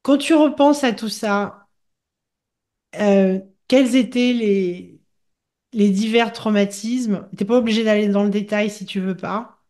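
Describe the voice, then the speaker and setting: Female interviewer, gentle and searching, medium pitch. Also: slow pace. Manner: gentle, searching questions with restarts. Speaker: interviewer, host. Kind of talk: interview conversation.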